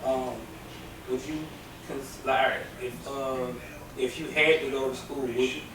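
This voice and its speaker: A man's voice: medium pitch, level tone, far from the mic. Off-mic male interviewer, roomy and noisy. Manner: halting questions with fillers. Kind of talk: casual interview questions.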